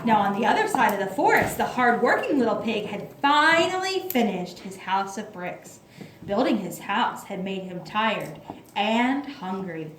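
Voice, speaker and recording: A woman narrating a scripted children's puppet show in a theatrical, drawn-out way. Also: medium pitch, sing-song, a bit bright. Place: echoey room at a library. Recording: echoey room with clear background noise.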